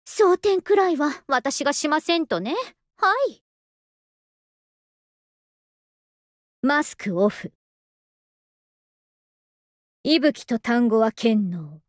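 A young anime game soldier woman with a high voice. She is languid and polite, with an understated affection, and her pitch swings widely. The sound is dry and close. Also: lively intonation, measured pace, no room sound. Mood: battle-ready. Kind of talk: Japanese anime game voice acting.

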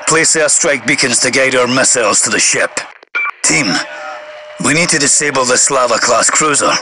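An actor playing a stern military commander, giving clipped video game mission orders through a radio filter. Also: male voice, medium pitch, rough recording.

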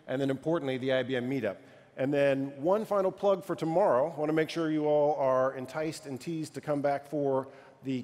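A man's voice: medium pitch, a little mellow. A middle-aged man hosting a conference keynote on a large stage, upbeat and chatty, with loose fillers. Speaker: keynote host. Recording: reverberant conference hall.

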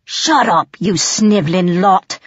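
A woman's voice: fairly low pitch, slow, dry recording, lo-fi sound. A woman voicing a menacing, gloating male goblin chief, a crude bully with rustic ungrammatical speech, in an audiobook. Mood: snarling. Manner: rustic ungrammatical dialect, snarling threats. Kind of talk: audiobook character voice.

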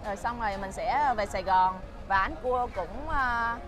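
A woman's voice: mid-range voice, moderate pace. A young woman with a southern Vietnamese accent chatting casually as a vlog host, recorded outdoors on a seaside restaurant terrace. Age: young adult. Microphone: small clip-on mic on chest.

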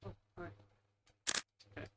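A man's voice: unhurried, slight background noise. A man giving casual, rambling, self-deprecating gameplay commentary as the host, muttering into a headset mic at home. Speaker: gaming video host.

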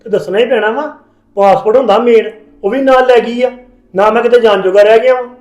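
A man plays a harried older brother in a family drama, brusque and scolding. His voice is high and he talks fast. The echoey room carries his voice. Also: fairly even intonation, a little mellow, dull audio. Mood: complaining.

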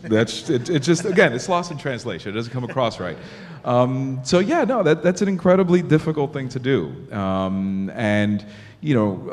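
A man from Miami, a journalist answering on a conference panel, animated and thinking aloud with restarts. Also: lowish voice. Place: large conference hall. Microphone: table mic on stand. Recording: long hall reverb.

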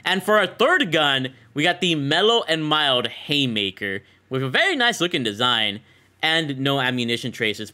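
Casting: young male gaming creator, bright forceful voice, casual slangy enthusiasm, music underneath. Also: mid-range voice. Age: young adult. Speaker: gaming content creator and host. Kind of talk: casual excited game bundle showcase commentary.

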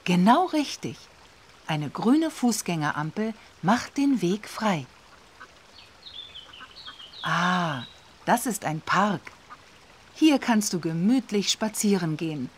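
A woman's voice: fairly low pitch, sing-song, slow, pauses often, husky, full character voice. A woman voicing an encouraging, watchful guide in a children's game, emphatic and instructive. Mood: approving. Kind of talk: children's educational game voice-over.

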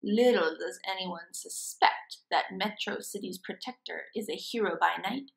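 A woman's voice: on the low side, moderate pace, gravelly, rough recording. A woman in her twenties doing a children's read-aloud as a storyteller, recorded indoors at a desk. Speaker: after-school program storyteller.

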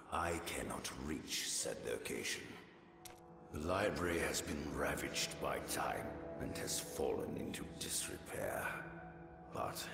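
An actor playing a haughty, sardonic old library curator in a video game, formal and disdainful in his delivery. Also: full character voice. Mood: amused, musing. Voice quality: deep, gravelly. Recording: long cavernous reverb, game music behind.

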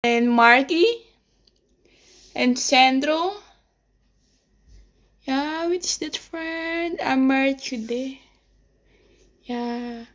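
A young woman from the Philippines with a Filipino accent, chatting casually and shyly in halting English on a phone livestream at home. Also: high-pitched, fairly bright tone. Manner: shy, hesitant, giggly, halting non-native English. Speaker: livestream host. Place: small room at home. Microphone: phone at arm's length.

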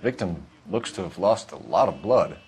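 An actor playing a clipped, businesslike police detective who asks probing questions in a video game. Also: male voice, low-pitched, animated, moderate pace, darkish tone, a little hiss or hum. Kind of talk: video game cutscene acting.

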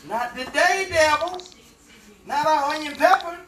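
A middle-aged man hosting a casual home cooking livestream, talking through the steps with relaxed, joking slang. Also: very high-pitched, unhurried, slight background noise. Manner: relaxed, jokey, playful slang. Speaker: home cooking show host. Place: home kitchen, indoors. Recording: sizzling pan and kitchen clatter.

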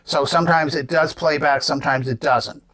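A man's voice: fairly high pitch, steady tone, normal speed, fairly bright tone. A man in his seventies giving an unscripted gadget demonstration in an explanatory way, posing questions and answering them himself. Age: 70s. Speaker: presenter demonstrating vintage gadgets.

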